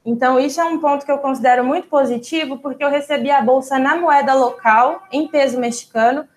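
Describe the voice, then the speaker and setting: High-voiced Brazilian woman telling a story. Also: fairly quick. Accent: Brazilian Portuguese. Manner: relaxed and explanatory, with frequent "é" fillers and small restarts. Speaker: interview guest, doctoral student. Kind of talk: casual interview storytelling.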